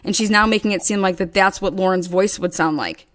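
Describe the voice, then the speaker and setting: A woman guest in an interview telling a long story in a casual, animated way and acting out quoted dialogue. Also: mid-range voice, brisk. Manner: rambling with frequent restarts.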